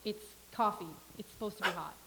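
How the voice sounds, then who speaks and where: A woman playing a dry, unimpressed cafe server who speaks deadpan in a staged play reading. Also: mid-range voice, fairly quick, gravelly, poor audio.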